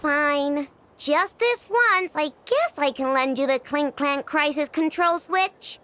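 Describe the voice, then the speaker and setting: A woman's voice: sits high, slow, pushed and bright, heavily acted. An actress playing a cocky, grudging young girl inventor in a video game, huffy and self-assured. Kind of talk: animated video game voice acting. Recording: music under the voice.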